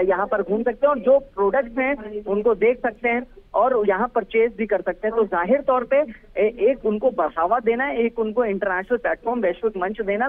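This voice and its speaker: A male field reporter giving a live news report by phone, hesitant, with many fillers and restarts. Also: high voice. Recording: tinny phone line.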